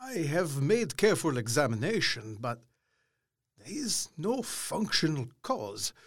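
Lively old professor, kindly and learned, bouncy pitch, dry close sound. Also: male voice, slightly rough, dry recording, exaggerated voice. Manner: emphatic, swinging between loud and soft. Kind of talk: radio drama acting.

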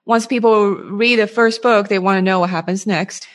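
A woman's voice: mid-range voice, steady tone, moderate pace. A woman interviewing a guest in a casual way, phrasing her questions tentatively and piece by piece.

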